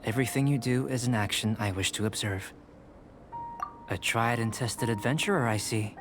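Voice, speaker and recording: Man voicing a measured, scholarly young alchemist. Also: mid-range voice, lightly acted. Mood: composed. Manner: measured, precise, scholarly, formal. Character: calm, curious young male alchemist researcher. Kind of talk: scripted video game dialogue. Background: game music.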